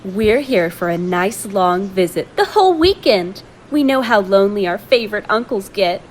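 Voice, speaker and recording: A narrator voicing a shrill, bossy middle-aged woman with a high, grating voice who scolds and accuses. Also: very animated, moderate pace, slight background noise, natural voice, low-quality recording. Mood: falsely sweet.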